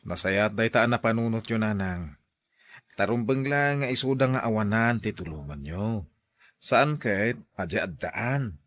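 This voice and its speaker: Man acting a low-voiced, caring grown son. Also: expressive, normal speed, a bit bright, no room sound. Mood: caring, approving. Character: caring adult son, advising his ailing mother. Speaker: drama actor. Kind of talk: radio drama acting.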